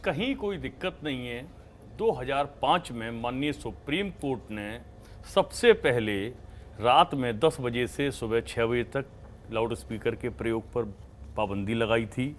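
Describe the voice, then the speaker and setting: A man's voice: mid-range voice, expressive, measured pace, slight background noise. A man speaking as a party politician in a TV debate, arguing emphatically in formal phrases mixed with English words.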